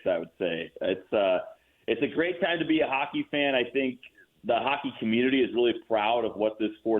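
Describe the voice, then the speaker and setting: A man speaking as an enthusiastic guest sportscaster in a conversational interview, telling things fluently. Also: medium pitch. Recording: tinny narrow phone-like line.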